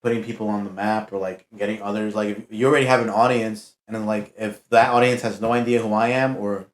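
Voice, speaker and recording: A man's voice: on the low side, fairly even intonation, fast talker. A young man chatting as a podcast guest in a laid-back, slangy way, full of fillers. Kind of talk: casual chat. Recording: echoey room.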